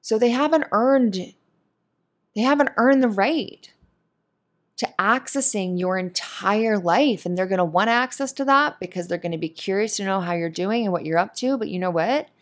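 A woman's voice: mid-range voice, moderate pace. A woman giving casual, comforting advice in a monologue, speaking in an earnest, opinionated and emphatic way. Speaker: voice actor giving advice to a listener.

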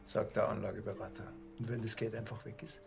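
A sardonic, laid-back village cop with a gravelly edge on a mid-pitched voice. He makes dry, mocking remarks in a TV crime comedy. Soft music hangs in the background. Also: level tone, fast talker.

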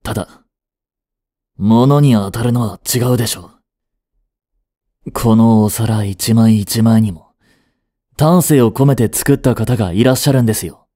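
A man's voice: fairly low pitch, measured pace, long pauses, mellow. A young man playing a stern, overprotective butler who speaks formally and earnestly, often sighing. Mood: scolding. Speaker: voice actor. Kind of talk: Japanese voice drama acting.

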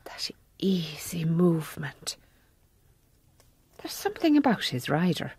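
An actress playing a shrewd, practical Mother Superior with a brisk, authoritative manner in a radio play. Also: high-pitched, dry recording. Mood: wistful. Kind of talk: radio drama acting.

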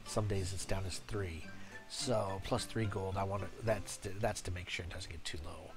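Stuffy-nosed man with a cold, halting. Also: sits low, fairly even intonation, music in the background. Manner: halting, many uhs and restarts. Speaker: game guide creator. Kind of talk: casual screen-recorded tutorial.

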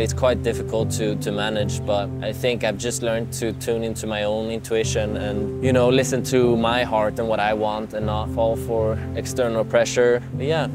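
A man in his twenties from Sweden, talking casually to the camera outdoors on a travel vlog, relaxed and reflective. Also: mid-range voice. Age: 20s. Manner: relaxed and reflective, with loose fillers like "like" and "yeah". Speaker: vlogger. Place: outdoors in nature. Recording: music playing underneath.

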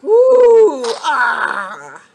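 A woman giving casual let's-play commentary, excitable and chatty, talking straight to the game characters and repeating herself. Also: sits high, very slow, gravelly, noisy background. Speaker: gameplay commentator. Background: game music.

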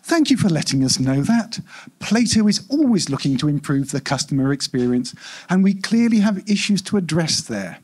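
A man voicing a polite, implacable customer-service AI in a live story reading, with smooth scripted corporate patter. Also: on the high side, sing-song, normal speed, darkish tone. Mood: polite, insistent.

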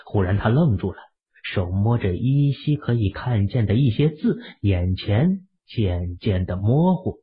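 A man with a raspy voice narrating an audio drama in a steady storytelling manner. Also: on the low side, unhurried, narrow, tinny audio.

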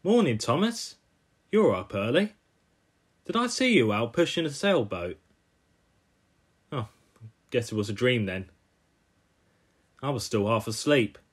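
Man voicing a gentle, polite young cartoon engine. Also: mid-range voice, big pitch swings, measured pace, long pauses, husky. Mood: friendly. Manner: gentle, apologetic. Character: friendly, polite, earnest young male tank engine.

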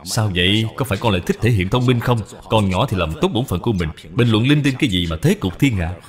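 A man's voice: lowish voice, animated, quick pace, lightly acted. A man voicing a severe, proud middle-aged father in a cartoon, speaking formally. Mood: stern. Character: stern, proud father scolding his child, middle-aged scholar. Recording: long reverb, background music.

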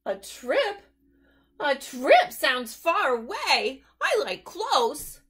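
A woman's voice: sits high, big pitch swings, very slow, fairly bright tone, pitched above the performer's own voice, heavily acted. A woman voicing a timid, grumbling male goose in a picture book read-aloud. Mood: worried. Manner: worried, grumbling.

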